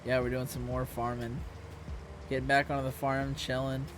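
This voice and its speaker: Hoarse young man, flat laid-back voice. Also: mid-range voice, normal speed. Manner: laid-back, chatty, talks to viewers. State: hoarse, scratchy voice. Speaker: live streamer. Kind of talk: casual live-stream chat. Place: room at home. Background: music playing.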